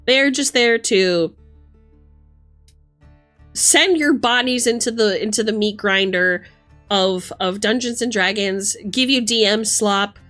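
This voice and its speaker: A woman's voice: fairly high pitch, fairly bright tone. A woman hosting a livestream, talking casually and emphatically with plenty of swearing as she rants and chats with commenters. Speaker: livestream host.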